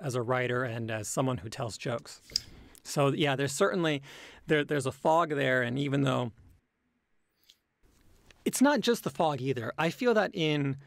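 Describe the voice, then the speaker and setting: A man who speaks English natively answers in a casual, reflective interview. His voice sits at a medium pitch and he picks his words carefully, with hesitations and qualifications. The sound is dry, with no room echo. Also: gravelly. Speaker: interview guest, language learner and comedy creator.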